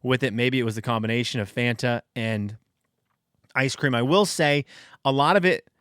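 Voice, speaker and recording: A man in his thirties, from Venezuela, hosts his own podcast and wanders through a long, self-deprecating story with frequent restarts. His voice sits at an ordinary middle pitch. The recording is dry and close, with no room sound. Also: moderate pace, a bit bright, smooth, dry recording. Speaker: podcast host. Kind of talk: casual solo podcast monologue.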